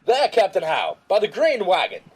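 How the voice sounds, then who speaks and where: A man's voice: high-pitched, wildly swooping pitch, moderate pace, husky, exaggerated voice. A man playing a dutiful, anxious soldier making deferential, urgent reports to his captain in an audio drama. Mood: urgent, excited.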